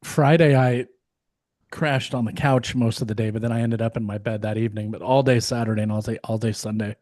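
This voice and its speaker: A man co-hosting a podcast, hoarse and losing his voice, talking in a casual, rambling way with many fillers and restarts. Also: medium pitch, fairly even intonation, fairly quick, darkish tone, dry recording. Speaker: podcast co-host. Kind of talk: casual chat.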